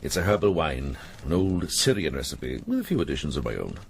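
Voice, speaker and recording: Deep-voiced, shrewd old-soldier monk. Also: male voice, big pitch swings, brisk, creaky, slight background noise, heavily acted. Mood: warm, wry. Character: seasoned, worldly-wise monk herbalist, kindly and shrewd. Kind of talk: radio drama acting.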